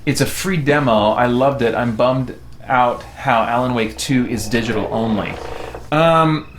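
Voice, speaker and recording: A middle-aged man rambling casually in a halting way, thinking aloud as he hosts a game stream. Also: medium pitch, a bit bright. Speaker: live stream host. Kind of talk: casual chat while playing a game.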